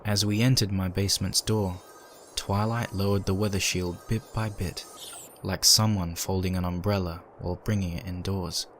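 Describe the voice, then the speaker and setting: A man's voice: low-pitched, moderate pace. A man reading a scripted story as narrator, in the manner of a dramatic audiobook. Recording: steady ambient noise behind.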